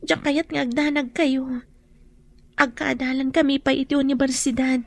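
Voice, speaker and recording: A woman's voice: sits high, flat delivery, moderate pace, creaky, no echo. A woman acting a shy, hesitant young student, a dutiful daughter, in a radio drama. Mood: worried.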